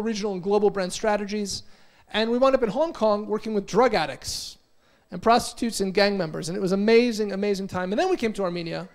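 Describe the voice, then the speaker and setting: An American man in his forties giving an energetic motivational conference talk, telling stories and often repeating words. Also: high voice. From United States. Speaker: conference speaker. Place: conference hall.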